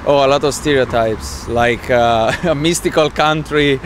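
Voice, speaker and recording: A man from Italy, answering street interview questions in an animated, laughing way. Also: medium pitch, unhurried, a little hiss or hum. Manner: animated, laughs while telling anecdotes. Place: city street outdoors.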